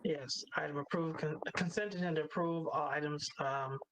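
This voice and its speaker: A man chairing a board meeting, reading motions and calling for votes in a halting, procedural way. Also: on the high side, steady tone, music in the background, low-quality recording.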